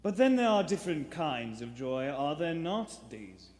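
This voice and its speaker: A man's voice: fairly high pitch, sing-song, a little mellow. A man acting in a live vintage radio play as a secretive, pious lodger who preaches with menace and indignation. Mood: eerily pious. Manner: slow, sanctimonious, erupting into indignation. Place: theater stage before a live audience. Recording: hall reverb of a live theater.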